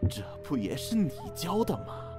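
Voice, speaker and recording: A man's voice: a little high, sing-song, moderate pace, over music. A man playing a cold, menacing general of ancient China in a costume radio drama, commanding and taunting. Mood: taunting, bitter.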